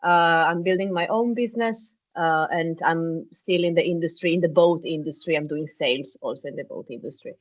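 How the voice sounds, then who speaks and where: A woman introducing her sales career to an online class, fluent and steady. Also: low-pitched, moderate pace, hardly any pauses. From Italy. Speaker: student. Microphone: video call. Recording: muffled video call audio.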